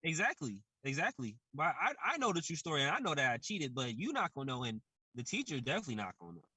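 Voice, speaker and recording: Young podcast guy, fairly high bright voice, dry close mic, explains stuff with easy examples. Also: big pitch swings, fast, slightly rough, no room sound. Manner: loose, explains with everyday comparisons. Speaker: podcast co-host. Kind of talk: casual chat.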